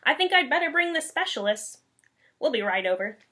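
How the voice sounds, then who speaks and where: A woman's voice: sits high, big pitch swings, a little fast, pushed and bright, pitched above the performer's own voice, full character voice. A woman voicing a puzzled but assured male family doctor in a storybook. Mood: brisk, assured.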